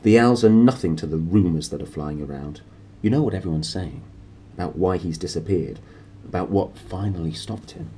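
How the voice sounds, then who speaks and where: A man's voice: sits low, normal speed, warm tone, slight background noise, natural voice. A man voicing a severe, impatient older woman professor in a children's storybook, prim and clipped. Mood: anxious, pressing.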